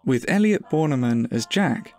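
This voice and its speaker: A man's voice: mid-range voice, animated, normal speed, gravelly, darkish tone. A man reading scripted closing credits as an announcer.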